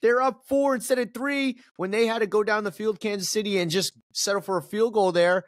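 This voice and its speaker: A man's voice: sits high, steady tone, brisk, dry recording, studio quality. A man co-hosting a casual sports podcast, reading promos in a sing-song way and rambling with restarts in the chat. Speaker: podcast co-host.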